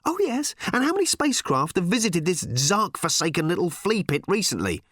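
A man's voice: high-pitched, very animated, brisk, fairly bright tone, no room sound, studio quality, full character voice. A man voicing an urgent, testy, self-important space traveller in a storybook reading, snappy and insistent. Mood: snapping, impatient.

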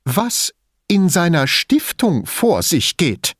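A man's voice: fairly high pitch, very animated, moderate pace, no room sound, clean studio sound, voice pushed up. A man voicing an agitated, defensive and spiteful woman in an audiobook, with a theatrical, indignant, emphatic delivery. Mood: scornful, angry. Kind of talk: audiobook character dialogue.

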